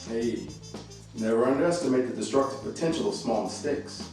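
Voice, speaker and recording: A man's voice: medium pitch, expressive, fairly quick, a little mellow, natural voice. A man acting in a radio drama as an easygoing, worldly uncle who banters with affection and teases protectively. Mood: teasing. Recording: very echoey, distant mic, faint music.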